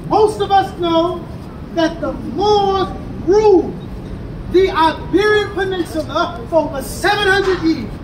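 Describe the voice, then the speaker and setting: An American man teaching a street crowd in call-and-response style, emphatic and rising to shouts. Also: very high voice, takes it slowly. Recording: echoey and distant, with crowd and traffic. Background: crowd responses and passing vehicles.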